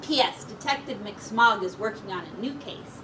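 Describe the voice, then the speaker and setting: A woman teacher reading a picture book aloud to young children in an expressive, encouraging way. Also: medium pitch, normal speed. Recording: distant mic with clear background noise.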